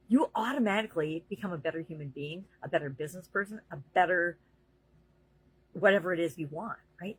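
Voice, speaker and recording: A middle-aged woman speaks to camera in her daily motivational vlog, earnest and chatty with plenty of fillers. Her voice sits fairly low with a husky edge, and the recording is dry with no room echo. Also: fairly bright tone. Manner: earnest, conversational, with frequent fillers. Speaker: vlogger and business coach.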